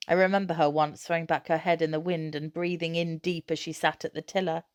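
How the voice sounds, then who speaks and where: A woman narrates a children's novel in a fairly low voice. She reads scripted prose aloud with expression, as a storybook reader. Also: little pitch movement, a little fast, clear, resonant tone, no echo, studio quality.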